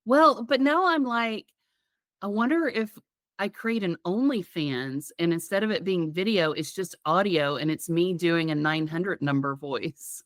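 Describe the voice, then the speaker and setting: Slightly raspy giggly woman podcaster. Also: fairly low pitch, a little slow, no room sound, studio quality. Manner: giggly, rambling, playful storytelling. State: getting over a sinus infection. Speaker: podcast co-host. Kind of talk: casual comedic podcast chat.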